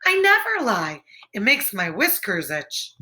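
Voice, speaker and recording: A woman's voice: medium pitch, wildly swooping pitch, a little slow, sharp tone, full character voice. A woman voicing an earnest, fretful male rabbit in a children's storybook, with an emphatic, childlike delivery. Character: earnest, fretful male rabbit worried about new otter neighbors.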